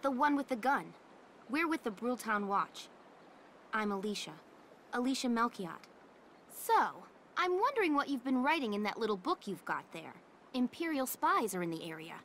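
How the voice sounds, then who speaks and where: A woman playing a brisk, suspicious young town watch guard in a video game, spirited and bossy. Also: fairly high pitch, sing-song, fairly bright tone, slight background noise. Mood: stern.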